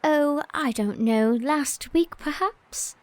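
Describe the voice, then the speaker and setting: An actress playing a blunt, lively young Englishwoman in an audio drama, talking in a playful, breathless way. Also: high voice, takes it slowly, dry recording. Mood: uneasy.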